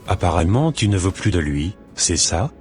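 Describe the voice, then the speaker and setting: Deep-voiced church elder preaching in a cartoon, calm and admonishing, a man voicing him. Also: faint music behind. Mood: questioning. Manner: slow, sermonizing, gently admonishing.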